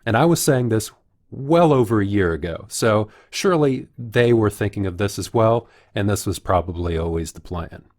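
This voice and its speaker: A man delivering analytical commentary in a measured, explanatory way, as a video commentator would. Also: lowish voice, animated, darkish tone. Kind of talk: analytical commentary, reading out quotes.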